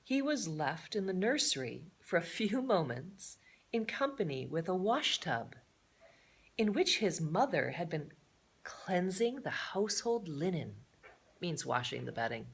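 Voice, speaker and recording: Woman, storybook read-aloud, very expressive pitch, slow pace, theatrical, playful chatty asides. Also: gravelly, husky. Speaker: storybook reader.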